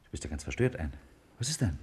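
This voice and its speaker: An actor playing a curious, kindly scientist in a 1950s radio play, with a deliberate, inquiring manner. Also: male voice, sits low, big pitch swings, darkish tone, slightly rough, full character voice. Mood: concerned. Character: curious, attentive male scientist, kindly colleague.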